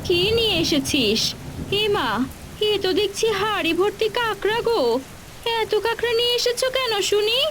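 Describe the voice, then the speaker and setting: A practical village wife and mother in a folktale cartoon. Her voice is very high and exclaiming, with faint rain and hiss behind it. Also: normal speed, poor audio. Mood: scolding. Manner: sharp-tongued, exclaiming, nagging. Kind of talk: Bengali fairy-tale cartoon dubbing.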